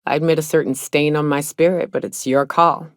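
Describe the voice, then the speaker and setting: An actress playing a tough, guarded woman hunter in a video game, in her thirties, with a blunt, folksy drawl and rough slang. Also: low voice, steady tone, a little fast, no echo, studio quality, natural voice. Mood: guarded, earnest. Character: tough, gruff, guarded woman monster hunter in a spaceship crew. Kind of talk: video game character dialogue.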